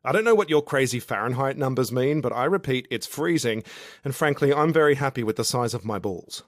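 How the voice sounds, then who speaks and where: A man's voice: medium pitch, animated, fairly quick, no echo, studio quality, close to an everyday voice. Man playing a blunt, grumbling young Aussie guy. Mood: reluctant. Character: awkward young Australian man, self-deprecating, grumbling. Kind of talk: audiobook character dialogue.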